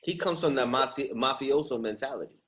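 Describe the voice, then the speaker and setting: A man's voice: medium pitch, fairly quick, fairly bright tone. A man in casual, opinionated chat, speaking emphatically in slang and driving points home by repetition.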